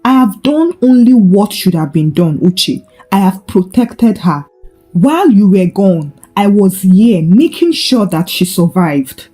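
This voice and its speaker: Woman acting a firm, protective male doctor, mellow. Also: mid-range voice, big pitch swings, measured pace, faint music behind. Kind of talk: dramatised folktale storytelling.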